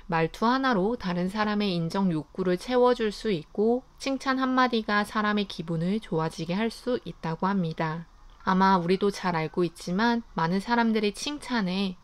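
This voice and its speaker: This is a woman narrating a book review from a script. Her voice is smooth and resonant, at an ordinary middle pitch. She reads calmly and evenly, with no hurry. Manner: calm, even reading of summaries and reflections.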